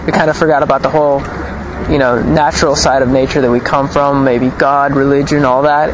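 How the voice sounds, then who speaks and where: Young man rambling over street noise. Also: fairly high pitch. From Ukraine, raised in Los Angeles. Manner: loose and rambling, many fillers and restarts. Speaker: student interviewee. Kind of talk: street interview, casual opinions. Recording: noisy outdoor street recording.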